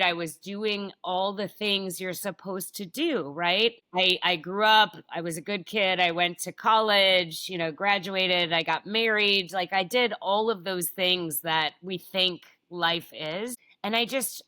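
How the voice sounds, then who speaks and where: Big-voiced, direct woman with a bright tone. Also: lowish voice, dry recording. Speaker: guest, tech founder and author. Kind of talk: casual interview conversation.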